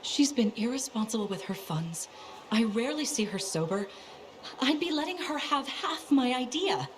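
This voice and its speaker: A woman's voice: a little high, big pitch swings, moderate pace. An actress playing a careworn, practical woman farmer in a video game, guarded but kindly. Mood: doubtful, saddened. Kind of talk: video game cutscene acting.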